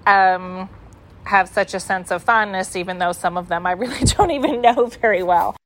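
A woman talks in a warm, musing way in an interview clip, pausing as she gathers her thoughts. Her pitch sits in the middle range. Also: rough recording. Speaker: donor-conceived sibling.

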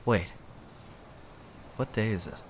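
A man playing an anxious, hapless son in an audio drama, halting and stammering, easily flustered. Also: on the low side, a little hiss or hum. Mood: panicked, fumbling.

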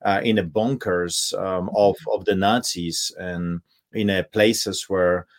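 A middle-aged man with a Polish accent speaks over a video call in a fairly low voice. He presses his points emphatically, stumbling through fillers and restarts as he goes. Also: fairly even intonation, slow. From Poland. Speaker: pastor, guest. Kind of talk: impassioned interview talk.

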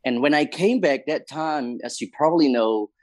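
Halting Burmese-accented man, dry sound. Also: on the high side, a little mellow, no room sound. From Myanmar. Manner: hesitant, halting non-native English phrasing. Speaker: interview guest, community leader. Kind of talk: conversational recounting of life and history.

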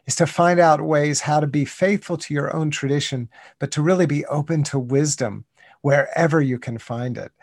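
A man's voice: mid-range voice, gravelly, darkish tone, no room sound, clean studio sound. An American man in his sixties speaking as a guest in an interview, thoughtful and earnest, often restarting with "you know". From United States. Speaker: guest, contemplative writer. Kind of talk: reflective interview talk.

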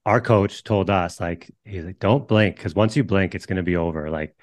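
A man with a very deep voice hosts a podcast and chats in a relaxed, friendly way. The recording is dry, with no room echo around him. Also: moderate pace. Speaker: podcast host, softball coach. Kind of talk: casual interview chat.

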